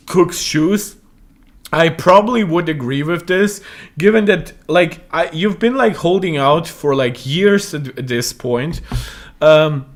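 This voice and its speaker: Chatty young man. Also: on the high side. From Moldova. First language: Russian. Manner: rambling, many fillers and self-corrections. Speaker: tech channel host. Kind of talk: casual commentary monologue.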